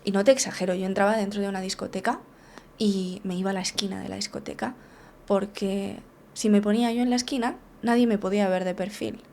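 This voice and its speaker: A woman's voice: mid-range voice, fairly even intonation, fast talker, darkish tone. A woman tells her story in a frank, unguarded way. She sits as a therapist and interview guest. She restarts her phrases often and keeps checking in with little tag questions.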